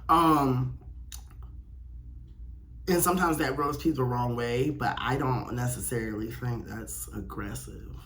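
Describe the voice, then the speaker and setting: A man's voice: mid-range voice, measured pace, pauses often, a bit bright, slight background noise. A young man with a blunt, opinionated, slangy way of talking, giving casual solo commentary as he reads aloud and reacts. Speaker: host of a personal video channel.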